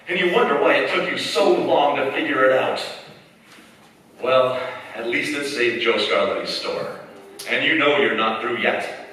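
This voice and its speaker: A man's voice: mid-range voice, bright, forceful tone. A man playing a grave, urgent narrator in a staged 1940s radio drama, dramatic and intense. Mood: resolute. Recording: echoey hall, far mic, music behind. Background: music.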